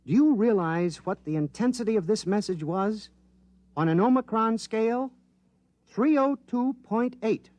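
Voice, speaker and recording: An actor in a 1950s radio drama playing an eager, excitable male scientist who explains things in a rush. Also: high voice, a little slow, no room sound. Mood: excited.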